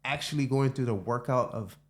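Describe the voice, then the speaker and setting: A middle-aged man hosting a podcast in his home studio, talking in a relaxed, reflective way and thinking aloud with restarts. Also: medium pitch, fairly quick. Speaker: podcast host. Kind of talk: casual conversation.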